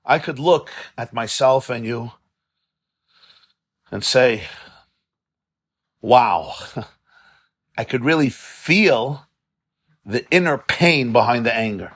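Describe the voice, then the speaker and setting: A man's voice: medium pitch, slow, long pauses, fairly bright tone, breathy edge. A man, a rabbi, giving an informal religious lecture in a study hall, impassioned and conversational, repeating words for emphasis and mixing in Yiddish and Hebrew terms. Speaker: rabbi teaching a class.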